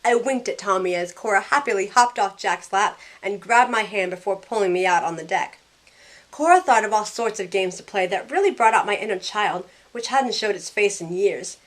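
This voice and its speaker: A woman's voice: medium pitch, moderate pace, close to an everyday voice. A woman playing a sarcastic, self-questioning young woman who narrates her own story in an audio drama. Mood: bright, playful. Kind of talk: first-person audio drama narration and dialogue.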